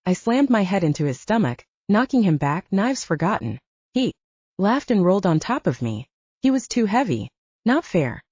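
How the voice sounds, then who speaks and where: A woman narrating a first-person fantasy audiobook, with expressive storytelling and lively voiced dialogue. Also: mid-range voice, normal speed, slightly rough, no echo. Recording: dull lo-fi dry recording.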